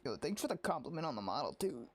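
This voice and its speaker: A man giving casual livestream game commentary, halting and rambling as he repeats his phrases. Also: on the high side, very animated, breathy edge. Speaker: game streamer.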